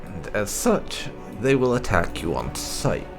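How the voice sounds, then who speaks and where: A man's voice: on the low side, sing-song, measured pace, gravelly, over music. A man giving casual commentary on his playthrough as a gaming channel host.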